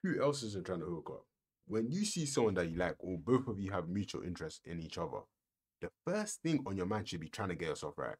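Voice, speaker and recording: Deep-voiced man with swooping, sarcastic delivery. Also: very animated, a little fast, a little mellow, husky. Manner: sarcastic, rhetorical, lecturing. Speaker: reaction video host. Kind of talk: mocking reaction commentary.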